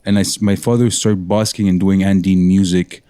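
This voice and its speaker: Low, dark-voiced man, rambling musician guest. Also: normal speed, clear, resonant tone, dry recording. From Montreal, Canada. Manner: rambling, self-correcting, animated storytelling. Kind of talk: casual interview talk.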